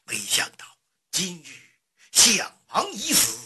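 A storyteller playing a grandiose, emotional king in a traditional tale, raspy, with archaic, stately and drawn-out lines. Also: medium pitch, huge pitch swings, noisy background. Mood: solemn grief. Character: grandiose grieving king, adult man, thunderous then mournful.

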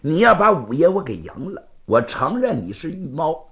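A man's voice: a little high, very animated, normal speed, fairly bright tone. A male storyteller voicing a proud, hot-headed young swordsman, brusque and imperious as he challenges a rival. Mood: angry, threatening. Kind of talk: Chinese storytelling performance.

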